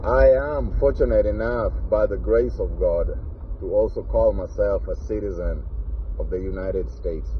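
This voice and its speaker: A man's voice: on the low side, measured pace, phone-quality sound, slight background noise. A man in his forties from Kenya with a Kenyan accent, talking earnestly to camera and stressing his points by repeating them. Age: 40s. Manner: earnest, emphatic, repeats key phrases. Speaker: vlogger. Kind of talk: casual personal talk to camera.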